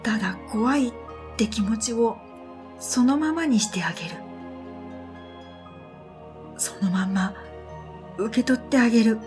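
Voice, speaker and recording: A woman narrating a scripted first-person radio drama in a gentle, unhurried way, with breathy phrase endings. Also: on the high side. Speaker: narrator and storyteller. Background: new-age music.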